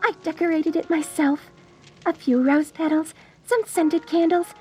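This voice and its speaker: A woman playing a sweetly deranged, lovestruck mortician bride in a dark comedy audio roleplay, cooing genteel endearments at the listener with theatrical menace. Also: very high-pitched, fairly even intonation, a little slow, faint music behind. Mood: cheerfully menacing.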